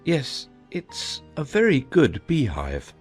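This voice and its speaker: A man's voice: medium pitch, huge pitch swings, slow pace, darkish tone, slightly rough, soft background music, exaggerated voice. Man acting an earnest, bumbling knight, wildly swooping. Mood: discontented, musing. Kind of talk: dramatized audiobook character acting.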